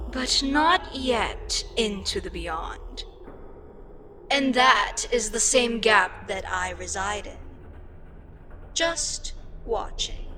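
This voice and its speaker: A woman voicing an ancient, weary eldritch deity in an intimate ASMR roleplay, speaking deliberately with pauses and breathy, whispery touches. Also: a little high, noisy background. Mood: musing, resigned. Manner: slow, deliberate, pausing, drifting into breathy whispers. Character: ancient eldritch deity, female, weary, frustrated, wistfully amused by mortals. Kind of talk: ASMR audio roleplay, intimate scripted monologue.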